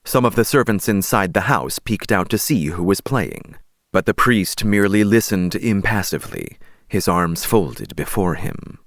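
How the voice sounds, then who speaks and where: A man narrates a story as an audiobook reader. His voice is low and creaky, with highly animated intonation. The recording is close and dry. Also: moderate pace, no echo.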